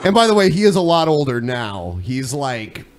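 A man hosts a casual podcast in a mid-range voice with extremely lively, swooping intonation. Faint music sometimes plays behind his banter. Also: mid-range voice, moderate pace. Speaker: podcast host who plays clips.

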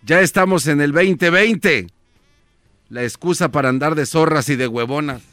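A man playing an excitable, fawning comic sidekick in radio show banter. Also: on the high side, moderate pace, no echo, soft background music, natural voice. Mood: bumbling. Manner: fawning, cheering the host.

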